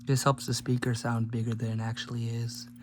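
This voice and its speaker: Male teardown host, mid-pitched and flat, casual rambling commentary with offhand jokes, clearly noisy humming recording. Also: fairly quick. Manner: rambling, jokey offhand remarks. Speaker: host of a gadget teardown video.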